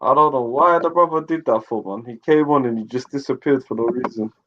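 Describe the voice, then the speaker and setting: Guy hosting an online chat, keeps pressing and teasing, mid pitch, muffled call audio. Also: brisk, a little hiss or hum. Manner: pushy, teasing, uses Somali and Arabic words. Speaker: host. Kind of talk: casual chat.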